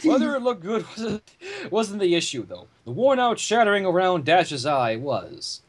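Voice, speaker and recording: Husky, animated higher-pitched man reading aloud. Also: normal speed. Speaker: fan fiction reader. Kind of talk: animated story narration with joking asides.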